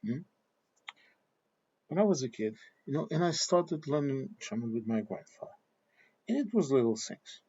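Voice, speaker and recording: A man from southern Portugal with a Portuguese accent, talking casually in English as a second language in a halting, digressive monologue, often checking in with a questioning okay. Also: mid-range voice, sing-song, warm tone, slightly rough. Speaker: vlogger giving personal commentary. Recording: dull lo-fi sound.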